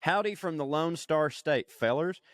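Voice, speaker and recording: A man's voice: fairly high pitch, expressive, unhurried, no echo, clean studio sound. Swearing man reading listener mail. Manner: profane, laughing, reads aloud with asides. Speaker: podcast co-host. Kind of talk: casual chat reading listener email.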